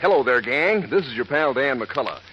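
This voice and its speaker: A man delivering a 1940s radio advertisement as a pitchman, folksy and enthusiastic, savoring his slangy words. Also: lively intonation, moderate pace, over loud music. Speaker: commercial pitchman. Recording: noisy, narrow-band old radio recording.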